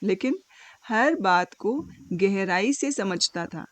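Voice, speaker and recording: A woman narrating a scripted moral story for a cartoon, with dramatic, deliberate phrasing and pauses. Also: medium pitch, lively intonation, dry recording.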